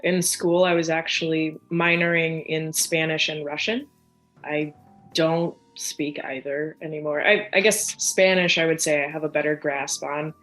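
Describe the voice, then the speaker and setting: A chatty young woman from near Chicago, talking easily as a podcast guest. Her voice sits a little low, and she keeps slipping into quoted asides. A faint bed of music plays under her. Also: a little slow, rough recording. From United States, near Chicago. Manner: chatty and animated, lots of fillers and quoted asides. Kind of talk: casual conversational interview.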